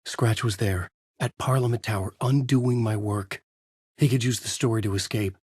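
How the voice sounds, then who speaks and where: An actor playing a brooding, haunted male writer, narrating a noir horror thriller in a measured, grave, dramatic way with a husky voice. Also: lowish voice, darkish tone, no room sound, clean studio sound.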